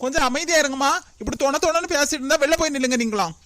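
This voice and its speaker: A woman playing a brisk, high-voiced doctor. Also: fairly bright tone, a little hiss or hum. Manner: brisk, ordering.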